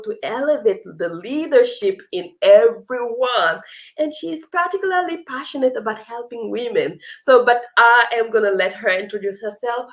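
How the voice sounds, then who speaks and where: A woman hosting an unscripted live interview, effusive and given to repeating phrases for emphasis. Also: a little high. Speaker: host of a live leadership talk show.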